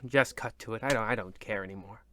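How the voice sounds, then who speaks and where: A man's voice: fairly low pitch, fast, pushed and bright. A man playing a weary, theatrical narrator in the style of an old-radio horror host. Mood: exasperated. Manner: ominous, theatrical, grumbling. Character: weary, disappointed horror-show narrator and uncle.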